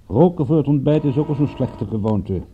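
A man's voice: medium pitch, animated, fairly quick, narrow, tinny audio, a little hiss or hum, close to an everyday voice. An actor playing a wry, teasing male private detective with dry banter in a radio drama. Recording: band-limited old radio play recording.

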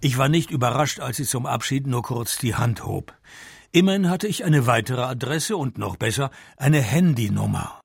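An actor playing a dry, sardonic private detective in a radio play, deadpan, with a gravelly, husky voice. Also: male voice, medium pitch, moderate pace, dry recording. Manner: deadpan, sarcastic. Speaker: detective narrating his own case. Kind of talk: radio play narration and dialogue.

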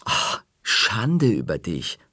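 A man's voice: on the low side, huge pitch swings, slow, bright, forceful tone, slightly rough, no echo, studio quality, exaggerated voice. Man doing a cheeky boy faking innocence. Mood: mock-scolding. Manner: mock-earnest, pious tone.

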